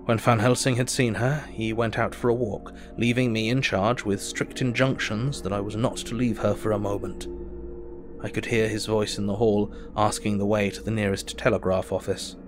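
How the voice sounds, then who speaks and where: An actor playing an earnest, weary Victorian doctor, narrating his diary in a measured, formal, reflective way. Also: lowish voice, steady tone, music in the background, lightly acted.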